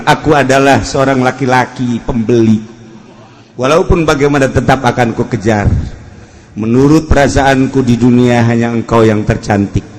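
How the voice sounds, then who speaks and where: Male preacher, Sundanese accent, fairly high voice, theatrical and humorous storyteller, recorded in a reverberant hall. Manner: theatrical, mock-romantic recitation between colloquial asides. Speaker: Islamic preacher. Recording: echoey hall with long reverb.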